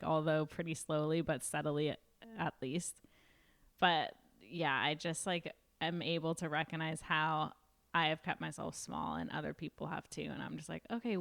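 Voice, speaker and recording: A woman's voice: low voice, creaky, a bit bright. A young woman in her twenties co-hosting a podcast, chatting casually and reflectively and saying "like" often. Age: 20s. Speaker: podcast co-host. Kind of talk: casual chat.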